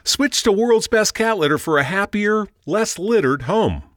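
A man's voice: high voice, lively intonation, a little slow, noisy background. A man doing a scripted commercial voice-over for a product. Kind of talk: scripted advertisement read.

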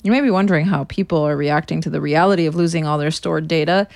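A woman's voice: low voice, quick pace, vocal fry, a little mellow, dry recording, clean studio sound. An American woman in her thirties playing a sardonic woman narrating a confessional audio diary, dryly sarcastic and casually profane. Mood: mock sincere. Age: 30s.

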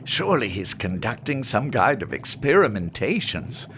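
A man playing a skeptical, reasonable colonial apothecary in a radio drama, with a drily expressive delivery. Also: medium pitch, moderate pace, slight background noise. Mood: skeptical, reasoning. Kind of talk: radio drama acting in period speech.

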